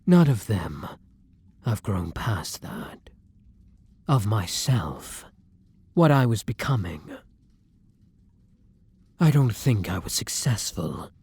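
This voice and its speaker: Man acting as a tormented gentle vampire, low voice, very breathy, halting, intimate ASMR roleplay. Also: sing-song, exaggerated voice. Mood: sorrowful confession. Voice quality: breathy, hushed, dropping into whispers. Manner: slow, halting, dramatic pauses. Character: tormented, gentle male vampire torn between hunger and compassion.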